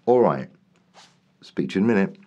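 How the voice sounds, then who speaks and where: A man hosting his podcast in a rambling, chatty way, his voice throaty and slightly raspy today. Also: low-pitched, expressive, a little fast, warm tone, highs cut off. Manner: rambling, lots of ums and restarts. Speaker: podcast host. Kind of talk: casual solo podcast monologue.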